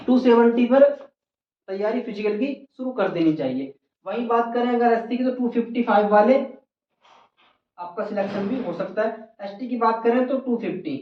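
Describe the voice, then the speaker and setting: Echoey, muffled explainer voice. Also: mid-range voice. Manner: instructive, repeats key figures for emphasis. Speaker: exam advice presenter. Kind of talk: informal explainer addressed to exam candidates. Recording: muffled, echoey room recording.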